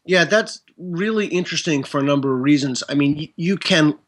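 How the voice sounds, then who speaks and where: Measured, hedging male guest. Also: fairly high pitch. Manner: measured, hedging, academic wording. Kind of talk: casual conversation.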